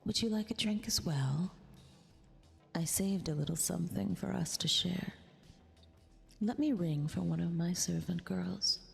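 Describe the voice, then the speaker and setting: A woman's voice: lowish voice, vocal fry. A woman voicing a vain, possessive eldritch empress in an ASMR roleplay, with formal, condescending, intimate delivery. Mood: doting, condescending. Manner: imperious, condescending, formal diction, drawn-out delivery. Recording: long reverb, music behind. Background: music.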